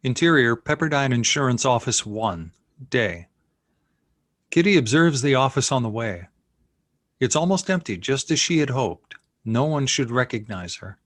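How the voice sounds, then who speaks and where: A man's voice: medium pitch, lively intonation, moderate pace, no echo, clean studio sound. A man reading scene headings and action lines as narrator of a screenplay table read. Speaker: narrator reading stage directions.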